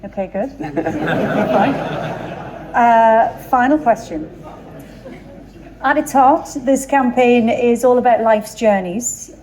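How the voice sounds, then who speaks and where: A woman hosting an interview, asking questions and prompting with quick affirmations. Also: fairly high pitch, mellow, a little hiss or hum, poor audio.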